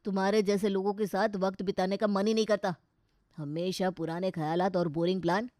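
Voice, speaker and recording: A woman voicing a short-tempered young husband in a moral story, scolding loudly and sarcastically. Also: medium pitch, level tone, fairly quick, no room sound, clean studio sound, natural voice. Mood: scornful. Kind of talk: dramatised Hindi moral story.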